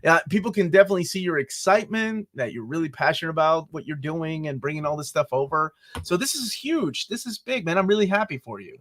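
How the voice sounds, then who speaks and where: A man in his forties from Peru hosting a casual interview, excitable and joking, often jumping up in pitch when animated. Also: quick pace, few pauses. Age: 40s. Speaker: podcast host.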